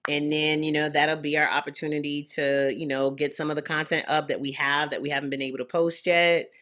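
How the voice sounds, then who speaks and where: A woman's voice: deep voice, flat delivery, a little fast, a bit bright. A young woman, Haitian American, co-hosting a podcast in casual, joking chat. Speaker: podcast co-host.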